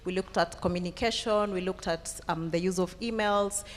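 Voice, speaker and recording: Low-voiced Kenyan woman in a reverberant hall. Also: fairly even intonation, normal speed, slight background noise. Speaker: master trainer, panelist. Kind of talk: unscripted panel testimony. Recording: echoey hall with long reverb.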